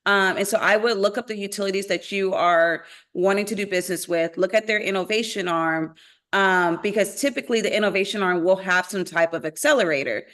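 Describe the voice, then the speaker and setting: A woman founder speaks on a video-call panel. Her voice sits at a middle pitch. She lays out practical advice and lists concrete examples as she goes. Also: a little fast, a bit bright. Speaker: climate tech founder on a panel. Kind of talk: webinar panel answer.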